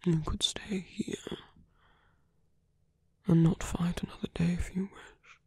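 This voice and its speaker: Seductive male villain for ASMR roleplay, performed by a man: gravelly whisper, patient, coaxing, possessive, intimate. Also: high voice, steady tone, slow, dry recording, heavily acted. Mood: tender persuasion. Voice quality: breathy whisper.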